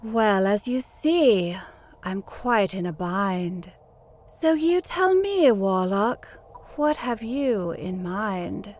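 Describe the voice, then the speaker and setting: Slow, cryptic prophecy-chanting oracle. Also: female voice, mid-range voice, very animated, darkish tone. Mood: coy, cryptic. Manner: chanting in rhyme, measured. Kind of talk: comic fantasy audio drama.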